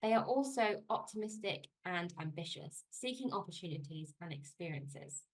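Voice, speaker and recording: Low-voiced woman presenting. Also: animated, moderate pace, poor audio. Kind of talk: prepared presentation.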